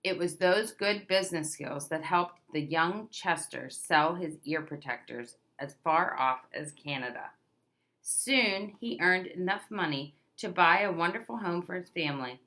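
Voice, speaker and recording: A woman's voice: lowish voice, expressive, unhurried, a bit bright. A woman reading a children's picture book aloud, expressively, stressing key words as a storyteller does for young listeners. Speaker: read-aloud presenter.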